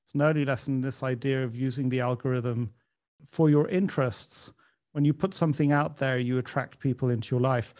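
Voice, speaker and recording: A man's voice: mid-range voice, a little fast, darkish tone. Friendly male interview host. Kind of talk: casual interview conversation.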